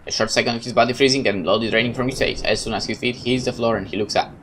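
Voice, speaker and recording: A man narrating a horror visual novel in first person, calm, measured and brooding. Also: mid-range voice, slight background noise. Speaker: let's play host narrating a visual novel.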